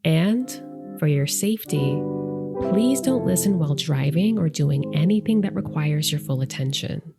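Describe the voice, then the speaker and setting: A woman leading a guided meditation as host, speaking softly and gently with deliberate pauses, her breathy voice trailing into whispers. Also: deep voice, animated, loud music behind the voice. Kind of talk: soft, soothing guided meditation narration.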